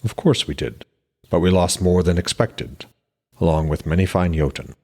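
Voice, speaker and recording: A man voicing a calm, implacable giant war chief in an even, measured manner for a fantasy audiobook. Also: sits low. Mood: grave, explaining.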